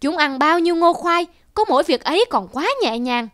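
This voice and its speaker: High-pitched stingy rich landowner played by a woman. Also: moderate pace, dry recording, studio quality, natural voice. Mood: grumbling, bossy. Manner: grumbling, exclamatory, commanding. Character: stingy, bossy middle-aged rich village landowner, male. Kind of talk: animated folk tale acting.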